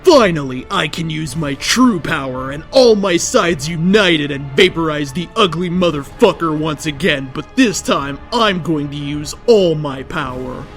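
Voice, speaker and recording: A man plays a cocky fighter hero from a fantasy story. The voice is fairly high, the manner boastful and defiant, with faint music behind. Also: animated, measured pace, hardly any pauses, a bit bright. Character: brash, cocky male fighter hero of fantasy fan fiction.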